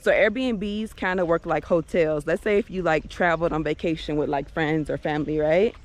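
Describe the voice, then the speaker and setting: A woman's voice: deep voice, moderate pace. A woman chatting casually while walking through an outdoor park, laughing often and saying "like" a lot. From United States. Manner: relaxed, laughs often, lots of filler "like". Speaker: travel vlogger.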